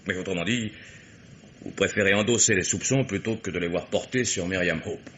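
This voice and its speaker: An actor playing a blunt, sardonic private detective who argues insistently in a radio mystery play. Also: male voice, low voice, a little fast, fairly bright tone, a little hiss or hum. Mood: sardonic, pressing.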